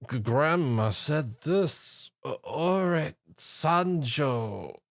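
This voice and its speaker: A man's voice: on the high side, lively intonation, extremely slow, gravelly, pitched above the performer's own voice, full character voice. Man playing a hesitant, beleaguered young hero. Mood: dazed.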